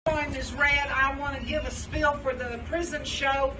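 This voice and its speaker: High-voiced woman presenting, noisy distant recording. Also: fairly even intonation, moderate pace, sharp tone. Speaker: event presenter, radio prison show contributor. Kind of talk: informal announcing at a live event. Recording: distant mic, clearly noisy room.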